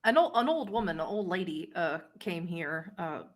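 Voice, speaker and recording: Tabletop game role: timid, dim male underling, played by a woman, hesitant and plain-spoken, stumbling over words, lowish female pitch. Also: expressive, normal speed. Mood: hesitant, uneasy. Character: timid, dim, nervous male human errand-runner for a vampire.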